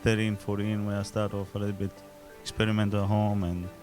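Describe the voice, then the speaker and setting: A man speaking English with an Italian accent, a chef who talks haltingly and reaches for his words. His voice sits low, with music playing clearly in the room behind him. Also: flat delivery. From southern Italy. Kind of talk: casual interview.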